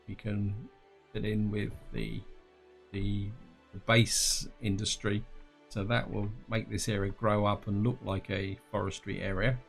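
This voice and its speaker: A man with a slightly croaky throat giving casual gameplay commentary, thinking aloud and talking to his viewers. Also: fairly low pitch. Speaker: gaming channel host.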